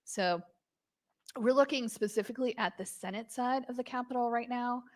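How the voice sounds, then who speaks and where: A woman's voice: a little high, normal speed. A woman from Massachusetts giving chatty live commentary, with lots of "um" fillers. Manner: chatty, with many "um"s.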